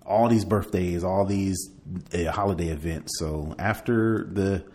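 A middle-aged American man in casual podcast conversation, co-hosting with a halting, laid-back delivery. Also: sits low, a little mellow. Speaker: podcast co-host. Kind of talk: casual chat.

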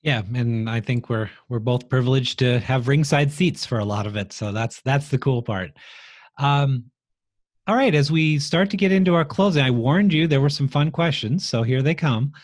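A man's voice: medium pitch, animated, normal speed, no echo. Easygoing male podcast host, dry close sound, chatty and laughs easily. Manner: casual, friendly, laughs easily. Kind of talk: podcast interview.

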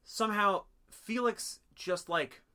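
A man in casual comedic chat, animated and swearing a lot as he recaps a cartoon plot. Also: high-pitched, takes it slowly, clear, resonant tone.

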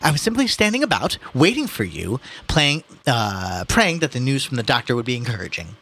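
A man's voice: medium pitch, very animated, a little fast, fairly bright tone, a little hiss or hum, pitched above the performer's own voice. A man reading a novel aloud, voicing a pompous, condescending thirty-year-old man of letters with a haughty, upper-crust manner. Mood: self-important. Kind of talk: audiobook-style novel reading.

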